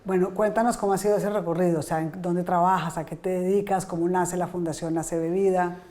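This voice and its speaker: A woman host with a fairly low, mellow voice, welcoming her guest warmly and asking about his career. Her questions are friendly and encouraging. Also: steady tone, fast. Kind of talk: interview hosting.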